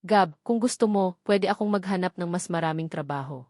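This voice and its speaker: A woman's voice: lowish voice, fairly quick, a little mellow, no room sound, clean studio sound, close to an everyday voice. A woman voicing a caring young mother who speaks with concern and encouragement to her partner in a narrated love story. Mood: encouraging.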